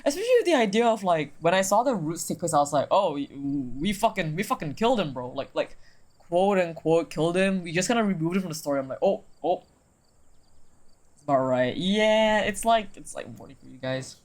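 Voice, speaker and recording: A streamer talking casually and excitedly through a visual novel, with slangy, sweary commentary. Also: medium pitch, fast talker. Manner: animated, slangy, profane.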